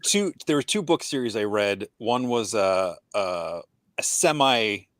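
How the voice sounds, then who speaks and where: A man hosting a podcast who asks questions in a halting, filler-heavy way. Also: fairly low pitch, animated, fairly bright tone, no echo, studio quality. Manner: hesitant, many fillers and restarts, asks questions. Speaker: podcast host. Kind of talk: casual podcast conversation.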